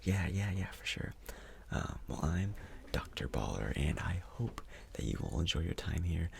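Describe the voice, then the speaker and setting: A man speaking softly, close to a whisper, in an ASMR doctor role-play, with a casual, hesitant, reassuring manner, close to the microphone. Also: low voice, sing-song, normal speed, gravelly, slight background noise.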